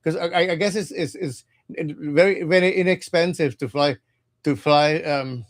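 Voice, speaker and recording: A man from Cuba, reading tarot cards in a halting, casual livestream chat and often repeating his words. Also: on the high side. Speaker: tarot reader.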